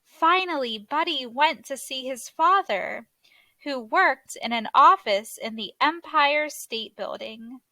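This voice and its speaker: A woman narrating a children's story aloud in an expressive, deliberate way, like a teacher reading to young listeners. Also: high voice, no room sound.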